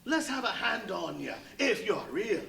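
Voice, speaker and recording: A nervous, folksy tramp, very high-voiced and bright, with lively swoops. He is flustered and eager to please in an echoey live radio play. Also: unhurried, creaky, heavily acted. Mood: amazed. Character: jittery, superstitious rustic tramp, eager to please. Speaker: stage radio play actor. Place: live performance at a brewery venue. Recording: echoey live stage recording.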